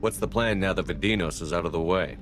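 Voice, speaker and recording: An actor playing a confident, competitive soldier hero in a video game, terse and assertive. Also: male voice, low-pitched, fast talker, lightly acted. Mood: confident, challenging. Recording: game music behind the voice.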